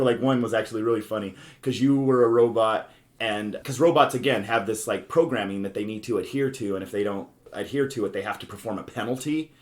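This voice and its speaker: A man hosting a casual board game podcast, chatty and quick to interject and prompt the others. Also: on the low side. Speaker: podcast host.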